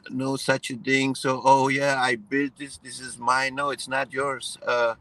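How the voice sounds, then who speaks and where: A man from Iran, raised in Germany, talking in a relaxed conversation with many hesitant fillers and restarts. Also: medium pitch, unhurried, poor audio. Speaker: guest. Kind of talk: casual conversation.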